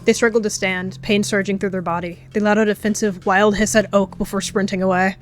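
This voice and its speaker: A woman's voice: medium pitch, little pitch movement, fairly quick, faint music behind. A woman narrating as a storyteller, reading scripted fiction in a dramatic style. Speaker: storyteller and roleplayer.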